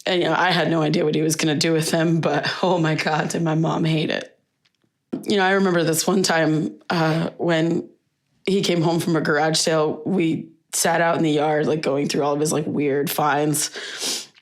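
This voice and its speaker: An actress playing a young grieving daughter in a naturalistic audio drama, talking in a halting, conversational, wistful way. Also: female voice, sits low, flat delivery, close to an everyday voice. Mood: fond reminiscing. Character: grieving daughter reminiscing fondly about her late father.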